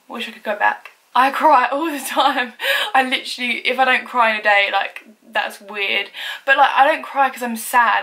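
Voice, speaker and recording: A young woman vlogger from England talks through a list of facts about herself. Her voice is naturally loud and bright, a little higher than most. She chats casually, giggles and fills her talk with "like". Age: young adult. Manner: chatty, uses "like" constantly, giggly.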